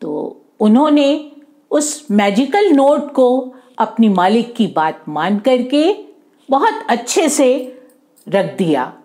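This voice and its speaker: A woman's voice: a little high, mellow. A woman telling a moral story to children in an informal, explanatory way, repeating and rephrasing as she goes.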